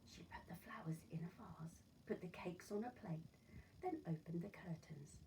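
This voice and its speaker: A woman reading a children's story aloud in an expressive, dramatic and deliberate narrating style. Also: on the low side, whispered. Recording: voice far from mic, noisy room.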